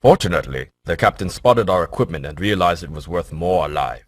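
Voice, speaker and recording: An actor playing a polite, formal official in a game cutscene. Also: male voice, low-pitched, slightly rough, no echo, studio quality. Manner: formal, measured. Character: formal facility official, adult man, polite.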